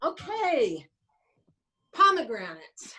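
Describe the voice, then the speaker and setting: Woman playing an exasperated old wife, high swooping voice, slow delivery, grumbling to herself, stage comedy. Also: wildly swooping pitch, sharp tone, exaggerated voice. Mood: grumbling, fussing. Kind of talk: stage play reading over video call.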